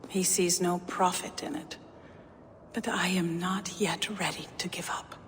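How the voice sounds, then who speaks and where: An actress playing a solemn young goddess seer in a video game, breathy, measured and wistful. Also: female voice, lowish voice, little pitch movement, in a whisper, lightly acted. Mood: wistful, mysterious. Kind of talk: dramatic game cutscene acting. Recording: long cavernous reverb, faint background noise.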